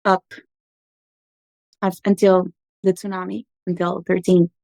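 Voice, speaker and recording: A woman in her thirties, from Portugal and raised abroad, earnestly recounting her life story as a podcast guest with frequent pauses. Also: lowish voice, level tone, vocal fry, darkish tone, no room sound, low-quality recording. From Portugal, raised in Angola and Macau. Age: 30s. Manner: reflective, earnest, with frequent ums. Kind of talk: personal storytelling interview.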